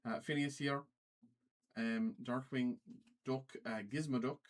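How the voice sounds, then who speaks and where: Halting man streamer with put-on Irish accent. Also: medium pitch, fairly even intonation. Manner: halting, repeats phrases. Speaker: trading card break livestream host. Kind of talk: casual livestream commentary, calling out cards.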